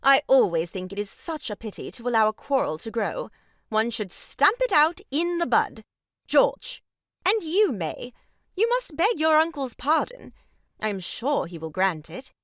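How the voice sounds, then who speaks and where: A woman's voice: a little high, big pitch swings, unhurried, a bit bright, dry recording, studio quality. An actress playing a meddling, pompous woman in a stage farce, declaiming with melodramatic grievance. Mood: brisk, condescending. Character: meddling, theatrically aggrieved sister-in-law, grandly moralizing woman. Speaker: voice actor. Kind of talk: stage farce audio drama acting.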